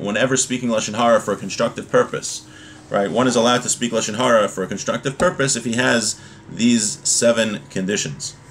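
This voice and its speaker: A man's voice: fairly low pitch, a little hiss or hum. A man in his thirties giving an informal religious lesson, reading from a text and explaining it in a hesitant way. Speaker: religious teacher. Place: small home room, indoors.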